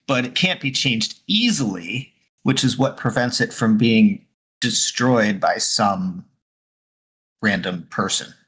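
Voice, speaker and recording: A man's voice: fairly low pitch, expressive, slow pace, fairly bright tone, slightly rough. A man co-hosting a casual political podcast, talking in a dry, self-deprecating joking way. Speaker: podcast co-host.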